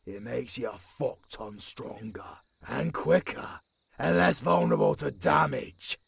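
A man playing a gruff, centuries-old werewolf mentor in an audio roleplay, with a British accent, a raspy voice and a stern, scolding manner. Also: medium pitch, expressive, measured pace. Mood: scolding, exasperated. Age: older. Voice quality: raspy, gravelly. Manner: stern, swearing, scolding drill-master.

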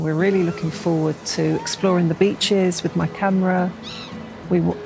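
A woman's voice: on the low side, vocal fry. A middle-aged woman house hunting with her husband, talking eagerly and upbeat in casual chat outdoors. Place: outdoors by a beach. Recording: music bed under speech.

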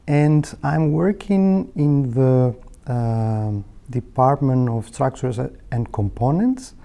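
Greek guy in his 30s talking about his job, really mellow dark tone, slow and kinda halting, measured. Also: medium pitch. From Greece. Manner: hesitant, measured, explanatory. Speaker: technical head of a materials laboratory. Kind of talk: informal career interview monologue. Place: indoor meeting room. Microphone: lapel.

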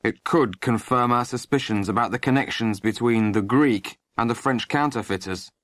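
Narrator doing angry cop dad from a storybook, gruff, bossy, mid pitch, clipped orders. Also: normal speed, a bit bright, no room sound, natural voice. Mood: brisk, commanding. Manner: gruff, curt, commanding. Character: stern, angry police sergeant father, middle-aged man.

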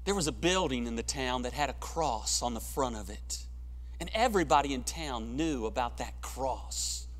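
A middle-aged man preaching a sermon in a church sanctuary, swelling to emphatic peaks and then dropping quiet and low. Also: animated, moderate pace. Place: church sanctuary, large room. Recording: long church reverb.

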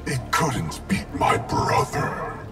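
A man voicing a cold, arrogant wraith ninja clan leader in a video game, gravelly, haughty and threatening. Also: mid-range voice, sing-song, slow. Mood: menacing disdain. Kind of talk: video game character dialogue. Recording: music behind the voice.

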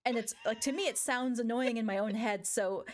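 A woman co-hosting a casual podcast chat, speaking in a calm, steady, soothing way. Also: on the high side, fairly quick, a little hiss or hum. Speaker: podcast co-host, gaming content creator.

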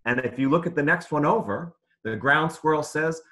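A man's voice: fairly high pitch, normal speed, sharp tone. A man reading aloud and teaching young children in simple, encouraging, instructive phrases during an online lesson. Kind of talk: interactive children's read-aloud lesson over video call.

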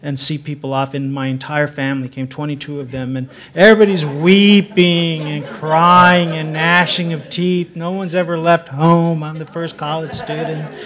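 A man's voice: a little high, normal speed, hardly any pauses. A middle-aged man from San Antonio telling a self-mocking story on a literary panel, speaking into a table mic before an audience. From San Antonio, Texas. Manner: relaxed, self-mocking, laughs at himself. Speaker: panel writer. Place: gallery event room with audience.

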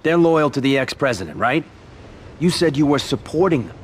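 A man's voice: fairly high pitch, sing-song, normal speed, husky. A man playing a guarded, skeptical mafia boss in a video game cutscene, speaking tersely. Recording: faint outdoor background noise.

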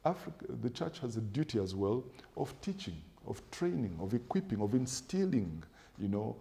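A middle-aged man, a pastor, answering in a studio interview with a measured, emphatic preaching style, building points in lists and quoting scripture, on a lapel mic. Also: mid-range voice, big pitch swings, creaky, dark tone. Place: TV studio set with sofas.

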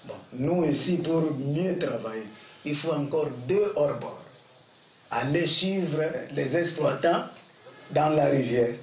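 A man's voice: on the high side, lively intonation, takes it slowly. A man in his sixties with a Congolese accent, answering interview questions as a local official. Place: small bare wooden-walled office. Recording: distant muffled echoey room, background noise.

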